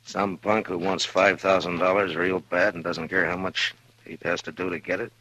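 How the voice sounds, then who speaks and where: An actor playing a stern, steady frontier marshal who speaks in a terse, calm and firm way, in 1950s radio drama style. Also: male voice, low voice, moderate pace, no echo, poor audio.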